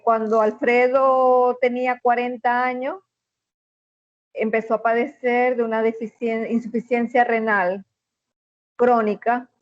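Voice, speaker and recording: A middle-aged woman with a Venezuelan accent, a guest in an interview, telling a personal story in an earnest, measured way with many "este" fillers. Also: on the high side, darkish tone, dry recording, muffled recording. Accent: Venezuelan Spanish.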